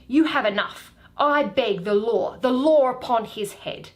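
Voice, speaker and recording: A woman's voice: on the high side, measured pace, natural voice. A woman reading Shakespeare, voicing an indignant, controlling older father who declaims and insists.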